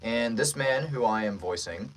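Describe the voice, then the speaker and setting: Male livestream host, casual and playful, highly animated pitch swings, jokey rapport with chat. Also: normal speed, rough recording. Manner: playful, joking with viewers.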